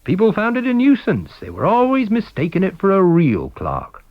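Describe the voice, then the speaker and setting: A man's voice: high voice, wildly swooping pitch, moderate pace, no echo, dull audio, voice pushed up. A man voicing an obliging, chatty garage proprietor, matter-of-fact, clipped and sure of himself.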